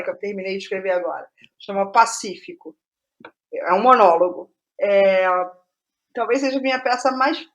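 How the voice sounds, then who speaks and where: A Brazilian woman speaking casually as an interview guest, telling stories in an animated way and thinking aloud with rhetorical asides. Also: medium pitch, dull audio. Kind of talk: casual conversational interview about writing process.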